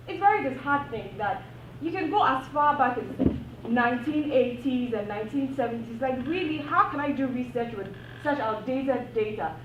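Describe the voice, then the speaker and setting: A woman's voice: high-pitched, background noise. Impassioned woman, distant and echoey. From Ghana. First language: not English. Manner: earnest, impassioned, repeats phrases for emphasis. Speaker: panel speaker, PhD academic. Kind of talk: panel discussion remarks. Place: university event room. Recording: echoey room, distant mic, dull sound.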